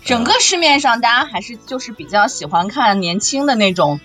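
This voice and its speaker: A woman's voice: fairly high pitch, expressive, fairly quick, a bit bright. A woman hosting a casual chat among voice actors, prompting her guests. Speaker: host and voice actress. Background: background music.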